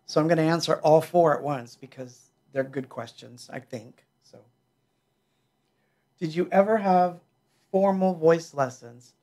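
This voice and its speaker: A man's voice: a little high, measured pace, darkish tone. A middle-aged man hosting a livestream from his small home music studio, chatting loosely and joking while he answers viewer questions. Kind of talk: casual livestream chat. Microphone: headset mic.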